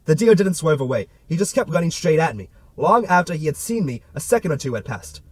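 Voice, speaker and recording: A man's voice: a little high, big pitch swings, a little fast, husky, no echo. A man narrating a literary novel as an amateur audiobook reader, with a theatrical delivery.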